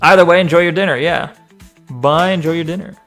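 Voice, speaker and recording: A man streaming and chatting casually, animated, swearing and talking back to the screen. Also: a little high, fairly quick, soft background music. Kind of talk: casual live-stream chat and video reaction.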